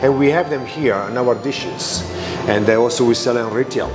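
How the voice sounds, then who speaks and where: A man's voice: medium pitch, normal speed, music in the background. A man from Italy with an Italian accent, a restaurant owner answering interview questions with many fillers and restarts.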